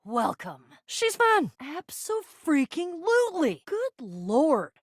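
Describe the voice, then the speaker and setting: Game heroine, American: exasperated, theatrical young adventurer, very animated delivery, dry close recording. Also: female voice, high voice, no echo, heavily acted. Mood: brisk, wry. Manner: theatrical, exasperated, quick to swing between bravado and fluster. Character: excitable, bossy, sarcastic young female adventurer on a quest. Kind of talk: video game voice acting.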